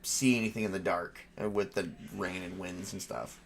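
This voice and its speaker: A man casually narrating a tabletop role-playing game as game master, excitable and quick to swear. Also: low-pitched, gravelly.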